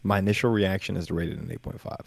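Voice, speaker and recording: A man co-hosting a podcast and chatting casually about stories in a flat, monotone way. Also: low-pitched, very fast, creaky, dry recording. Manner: monotone, laid-back delivery. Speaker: podcast co-host and story narrator. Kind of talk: casual chat.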